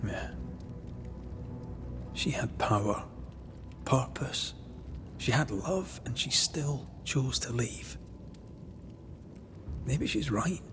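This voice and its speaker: An actor playing a tormented, war-haunted man devoted to his lover, speaking gravely and haltingly, often dropping to a murmur, in video game dialogue. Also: medium pitch, lively intonation. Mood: doubtful. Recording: music under the voice.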